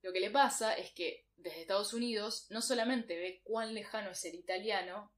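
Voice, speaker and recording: A woman hosts a literature podcast, explaining ideas in a measured, even way. She sets up quotations carefully and walks the listener through each point. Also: medium pitch. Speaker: podcast host. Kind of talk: reflective literary commentary.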